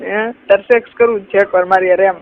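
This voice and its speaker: A woman's voice: mid-range voice, steady tone, fast talker, a little hiss or hum. A young woman chatting casually and privately on a recorded phone call.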